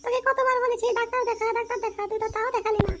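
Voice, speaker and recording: A performer voicing a brash, defiant young village wife, scolding and whining in a shrill, exaggerated comic Bengali drama style. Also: flat delivery, rapid-fire, a bit bright. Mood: scornful.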